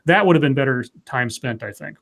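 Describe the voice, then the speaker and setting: A man's voice: medium pitch, brisk, vocal fry. A man co-hosting a live comics chat show, talking loosely with lots of restarts and fillers. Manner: rambling, animated, many restarts and fillers. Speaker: podcast co-host. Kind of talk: casual live-stream chat.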